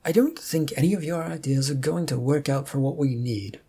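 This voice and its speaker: Storybook trickster god read by a narrator: insistent, evasive, needling, with a gravelly, soft-edged voice. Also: mid-range voice, fairly quick. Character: Zip Zog, pushy, mischievous, evasive god. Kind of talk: amateur story reading in character.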